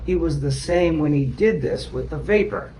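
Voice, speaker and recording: A man's voice: fairly high pitch, animated, moderate pace, mellow, slight background noise, rough recording. A man speaking as a therapist in a therapy session, insistent and repetitive, often checking whether his listeners understand. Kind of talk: group therapy session talk.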